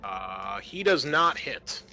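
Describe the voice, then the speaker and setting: A man's voice: medium pitch, sing-song, slow, bright, forceful tone, faint music behind. A man acting as game master, narrating and refereeing a tabletop roleplaying game.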